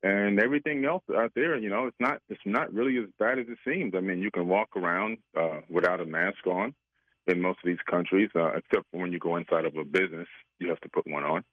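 A man's voice: sits low, fairly quick, no echo. A man in his fifties, a regular guest and researcher, chatting easily on a phone-in radio interview and laughing often. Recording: tinny phone line.

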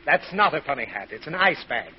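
A man's voice: a little high, sing-song, a little fast. An actor playing an exasperated, short-tempered, suffering father in old radio comedy, groaning and sputtering. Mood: pained, irritated. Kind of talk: 1940s radio comedy acting. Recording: muffled, noisy old radio show with audience.

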